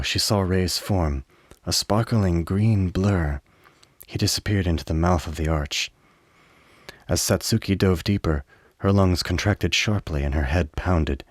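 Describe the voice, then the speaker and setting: A man with a low voice narrates a radio drama. His reading is measured and literary, recorded close and dry. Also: no echo. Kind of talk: scripted radio play narration.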